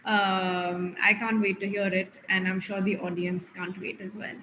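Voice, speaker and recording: A woman's voice: medium pitch, level tone, a little slow, pushed and bright. A woman hosting a literary session who introduces her guest in a friendly, eager way. Her voice carries a long reverb, with faint background noise. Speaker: event host.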